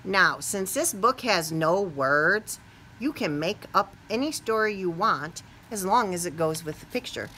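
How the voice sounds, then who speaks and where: Lively, bright-voiced American woman teaching children. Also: lowish voice, a little slow, a little hiss or hum. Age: middle-aged. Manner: animated, playful, exaggerated questions and reactions as to small children. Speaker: kindergarten teacher. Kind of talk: read-aloud lesson for young children. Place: small classroom, indoors.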